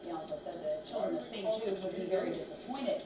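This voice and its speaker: A woman's voice: lowish voice, quick pace, a loud crowd. A woman with an American accent reading scripted morning television news as an anchor. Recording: distant, echoey studio feed in control room.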